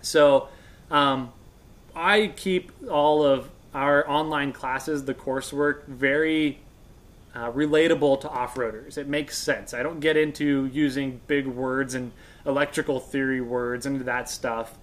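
A man's voice: mid-range voice, steady tone, a little slow. An American man in his thirties, explaining things informally as a knowledgeable guest, in a conversational way. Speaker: guest radio expert and instructor. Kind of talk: casual explanation. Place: indoors on a couch in a workshop room.

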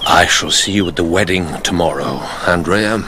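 Actor as low-voiced, coldly menacing count. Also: male voice, moderate pace, background noise, close to an everyday voice. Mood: cool, probing. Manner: clipped, formal, ironic. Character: cold, controlled avenging count, quietly menacing. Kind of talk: radio drama acting.

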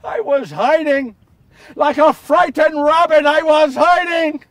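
Recorded from some distance, a man acts out a remorseful fisherman disciple in a first-person biblical stage monologue. His voice sits high for a man and has a husky grain. The delivery is earnest, confessional and theatrical, that of an anguished follower owning up to his failings. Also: expressive, normal speed, no echo, full character voice. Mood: bitter self-reproach. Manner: earnest, confessional, theatrical, swinging from hushed to shouting. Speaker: solo storyteller actor. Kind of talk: first-person dramatic monologue of a Bible story. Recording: distant microphone.

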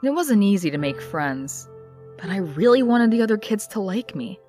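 A woman playing a young woman confiding her painful past in an expressive, reflective comic dub narration. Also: medium pitch, normal speed, soft background music, lightly acted.

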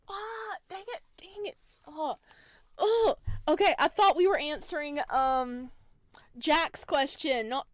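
A woman streaming a visual novel, reading aloud and reacting in a playful, dramatic, expressive way. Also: high voice, no room sound, studio quality. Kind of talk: let's play, reading game narration aloud with reactions. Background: game music.

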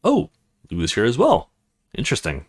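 A man's voice: mid-range voice, huge pitch swings, brisk, husky. A man voicing an awkward young male recruit in a visual novel, hesitant and grumbling to himself.

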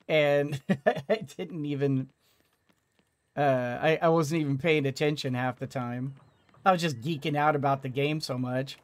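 A man's voice: on the high side, fairly even intonation, unhurried, no echo. A middle-aged man giving casual let's play commentary, hesitant and musing to himself as he plays. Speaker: gaming video host. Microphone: microphone on boom arm, headset. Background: game music.

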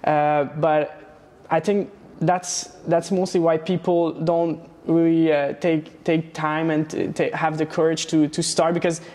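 A young man from Romania, a guest in a studio interview, talking casually with many pauses and restarts. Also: a little high, flat delivery, darkish tone. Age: young adult. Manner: hesitant, many fillers and restarts. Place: studio set with plant wall and neon sign. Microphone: lapel mic.

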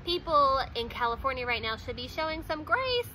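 A woman in her thirties chats straight to the camera in a loose, rambling way, full of "like" and small laughs. Her voice sits high and sounds bright and crisp. A faint outdoor hiss lies behind her. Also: very animated, a little fast. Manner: chatty, many "like"s and small laughs. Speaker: vlogger. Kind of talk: casual talk to camera. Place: outdoors in front of a wooden fence.

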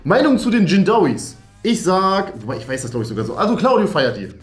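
A young man with a lively, swinging intonation and a fairly high voice jokes around as a video host. His casual banter sounds in a roomy space. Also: fast. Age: young adult. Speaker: YouTube host. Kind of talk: playful casual banter, answering viewer questions. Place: furnished indoor room, seated at a table. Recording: roomy echo with music underneath.

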